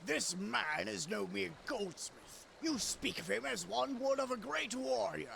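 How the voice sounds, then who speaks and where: A man voicing a grand, courtly wandering warrior in a video game, with a gravelly voice and formal, archaic speech. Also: high-pitched, animated, unhurried, pushed and bright, slight background noise, heavily acted. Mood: courtly, intrigued. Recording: clear music behind. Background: game music.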